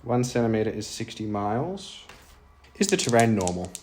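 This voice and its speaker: A man's voice: fairly low pitch, very animated, moderate pace, a little mellow, slight background noise. A man hosting a solo role-playing audio show, moving between storytelling and casual thinking aloud about the game. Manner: thinks aloud while playing, self-deprecating asides. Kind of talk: narrated story mixed with casual game commentary.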